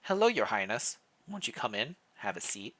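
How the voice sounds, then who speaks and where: A man voicing a courteous, gently welcoming royal date in a fantasy story, polite and soft-spoken. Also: on the low side, very animated, moderate pace, exaggerated voice. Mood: polite, inviting.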